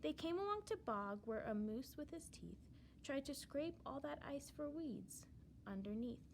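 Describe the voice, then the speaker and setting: A woman reading a children's storybook aloud in verse, with expressive, dramatic emphasis. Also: high-pitched, moderate pace, creaky, slight background noise.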